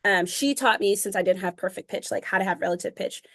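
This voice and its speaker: A woman's voice: mid-range voice, quick pace, fairly bright tone. A young woman being interviewed about her music, talking casually with many fillers and frequent laughs. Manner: chatty, fillers of um and like, laughs often. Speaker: interview guest, singer.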